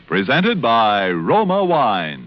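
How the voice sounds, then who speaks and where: An American man reads a 1940s radio commercial with a polished, emphatic sell. His pitch moves up and down freely. The recording is dull and vintage, with some music. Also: wildly swooping pitch, takes it slowly. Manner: polished, emphatic salesman's delivery, spelling out the brand. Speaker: radio announcer and sponsor pitchman.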